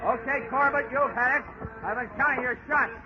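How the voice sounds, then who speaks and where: A man's voice: extremely high pitch, fairly even intonation, normal speed. An actor playing a tough, wisecracking insurance investigator who narrates his case in clipped 1940s radio detective style. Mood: taunting, shouting. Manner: wisecracking, hard-boiled, clipped. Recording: muffled 1940s radio recording, music behind.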